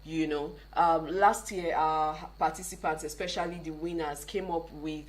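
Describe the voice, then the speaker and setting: Nigerian lady being interviewed on radio, lowish voice, explains stuff, says you know a lot. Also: steady tone, normal speed, low-quality recording. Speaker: interview guest, tech programme organiser. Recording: clearly audible background noise.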